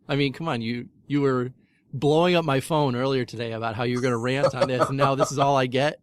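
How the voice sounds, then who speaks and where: A man's voice: medium pitch, fairly even intonation, brisk, smooth, no room sound. A man co-hosting a podcast with casual, teasing banter. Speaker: podcast co-host.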